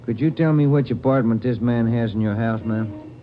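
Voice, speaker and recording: An actor playing a steady, polite police detective with a dry, businesslike manner in a radio crime drama. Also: male voice, mid-range voice, normal speed, natural voice. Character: steady, polite but tired male police detective partner. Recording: dull, noisy vintage radio recording.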